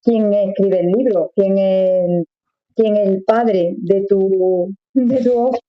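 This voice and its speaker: A woman's voice: mid-range voice, fairly even intonation, slow, highs cut off. A woman interviewing an author in a chatty, encouraging way, often ending with tag questions. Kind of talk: friendly casual interview.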